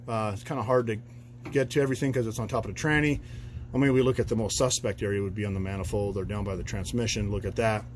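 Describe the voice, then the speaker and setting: A man's voice: medium pitch, slight background noise. A man working as an auto mechanic, talking through a repair in a loose, rambling way with plenty of fillers. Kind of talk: casual repair walkthrough. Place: garage, under a truck.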